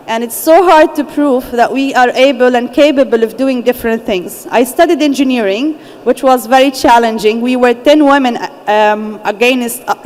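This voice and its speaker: A young woman, a founder speaking on a conference panel, earnest and measured, pausing to find her words. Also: a little high, darkish tone. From Gaza, Palestine. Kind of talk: personal story told on a conference panel. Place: large conference hall. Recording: echoey conference hall with faint ambience.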